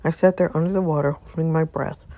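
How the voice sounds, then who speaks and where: A woman voicing a grieving young wife in first-person story narration, halting, hushed and anguished. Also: low voice, steady tone, dry recording. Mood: numb regret. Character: grieving young wife confessing pain and regret.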